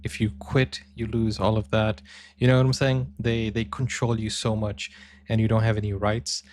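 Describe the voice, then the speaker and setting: A man's voice: fairly low pitch, level tone, normal speed, a little mellow. A man hosting a reaction video, commenting casually and thinking aloud with fillers.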